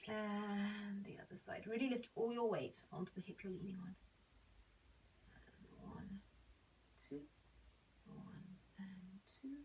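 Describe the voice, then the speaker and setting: A woman with a stinking cold leads a belly dance warm-up, her voice hoarse and half whispered. She cues each stretch step by step and counts out the beats. She sounds set back from the microphone, with a faint hum of room noise behind her. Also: mid-range voice. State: has a cold, voice nearly gone. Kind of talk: instructing an exercise warm-up. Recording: voice a bit far from the mic.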